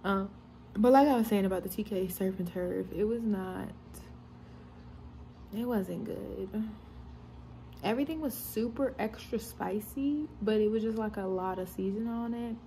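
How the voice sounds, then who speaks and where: A woman's voice: mid-range voice, measured pace, long pauses, warm tone, slight background noise. Woman in her twenties, casual vlogger, phone held at arm's length, everyday chat. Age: 20s.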